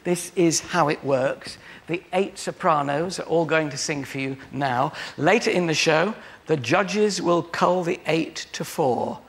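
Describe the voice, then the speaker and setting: A man's voice: fairly high pitch, measured pace, gravelly, a bit bright. A man hosting a TV studio chat show, introducing contestants with teasing banter. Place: large TV studio with audience. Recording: reverberant studio with audience.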